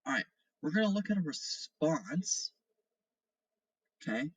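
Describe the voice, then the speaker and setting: A man's voice: mid-range voice, slightly rough, dry recording, rough recording. A man teaching seventh grade remotely, giving step-by-step instructions and explanations and often checking in with okay and all right. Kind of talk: remote lesson instructions and read-aloud.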